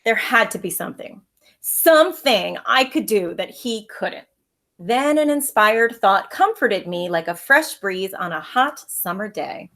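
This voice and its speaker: A woman teacher reading a children's story aloud with expressive narration, recorded at home. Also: medium pitch, measured pace.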